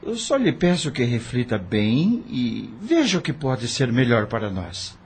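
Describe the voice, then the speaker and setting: Earnest, resolute widowed gentleman played by a man, audio drama, mid-pitched and animated, formal delivery. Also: a little slow, husky, full character voice. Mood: composed.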